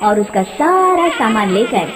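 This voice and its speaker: A woman's voice: a little high, very animated, a little slow. A woman narrating a scripted story in a dramatic storytelling style. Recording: long reverb.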